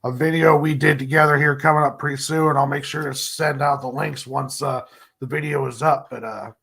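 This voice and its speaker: A man with a stuffed-up, congested nose, signing off a live drawing stream in a relaxed, rambling way and clearing his throat often. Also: mid-range voice, a little fast. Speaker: comic artist streamer. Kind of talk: casual live-stream sign-off.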